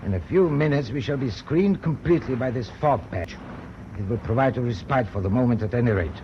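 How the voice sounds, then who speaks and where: An actor playing a commanding, watchful German sea captain in a radio drama. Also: male voice, medium pitch, lively intonation, a little fast, lightly acted. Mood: measured, watchful. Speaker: ship's captain. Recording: muffled, noisy radio drama recording.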